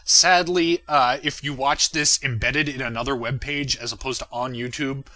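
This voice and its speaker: A man's voice: mid-range voice, fairly even intonation, normal speed, pushed and bright. A man giving casual, unscripted commentary as the creator of a fan parody series, self-deprecating and irreverent, swearing freely and often chuckling as he talks.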